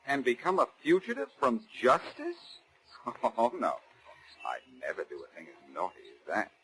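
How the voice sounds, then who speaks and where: Cocky American amateur sleuth, husky edge, very animated delivery, sardonic retorts, vintage 1940s radio style. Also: male voice, mid-range voice, normal speed, exaggerated voice, rough recording. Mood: wry, defiant. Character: cocky, wisecracking amateur sleuth wrongly under suspicion. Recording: restored 1940s radio drama recording.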